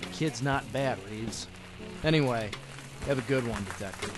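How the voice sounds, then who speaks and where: A man's voice: mid-range voice, normal speed, lightly acted, low-quality recording. Guy doing friendly young speakeasy boss, old radio play, medium voice, music in back. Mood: easygoing. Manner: easygoing, chummy. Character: young, friendly, well-to-do male speakeasy owner. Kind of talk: 1940s radio acting.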